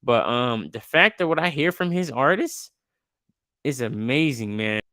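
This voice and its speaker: Young guy hosting a podcast, loose slangy rambling, smooth clear voice, dry sound. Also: medium pitch, animated, a little slow, bright, forceful tone, dry recording. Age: 20s. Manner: laid-back, slangy, rambling with restarts. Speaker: podcast host. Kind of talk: casual interview chat.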